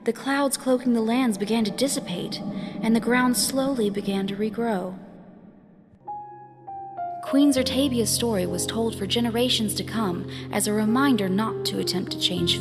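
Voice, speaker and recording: A woman narrating a scripted fantasy story in a solemn, deliberate, dramatic way. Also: mid-range voice. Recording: reverberant hall, music behind.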